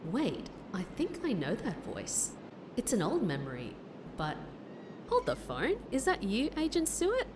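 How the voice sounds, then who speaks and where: A woman's voice: medium pitch, wildly swooping pitch, measured pace, background noise. A woman playing a breezy, friendly Australian secret agent in an audio drama, chummy and slangy, with a playful manner. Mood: cheerful. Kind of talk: audio drama acting with narration.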